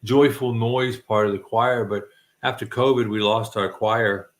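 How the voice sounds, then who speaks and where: A man's voice: on the low side, fairly even intonation, measured pace. A middle-aged man hosting a casual late-night chat, rambling with many restarts and self-deprecating jokes. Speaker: host.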